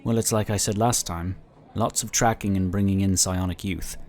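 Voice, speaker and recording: A man's voice: deep voice, steady tone, normal speed, a little mellow, natural voice. A man playing an affable, talkative and polite psionic inquisitor in a sci-fi audio drama. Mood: candid, explanatory. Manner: talkative, easygoing, explanatory.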